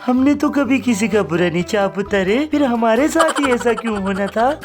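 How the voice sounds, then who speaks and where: A woman's voice: fairly high pitch, expressive, moderate pace, close to an everyday voice. A woman voicing a fretful, nagging village mother in a cartoon, with dramatic, motherly lamenting. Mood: sorrowful. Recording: music behind the voice.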